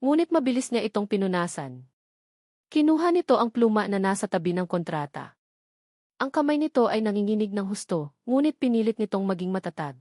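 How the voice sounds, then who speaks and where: Female audiobook narrator, medium pitch, dramatic scripted storytelling, close dry recording. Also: big pitch swings, brisk, dark tone, no room sound.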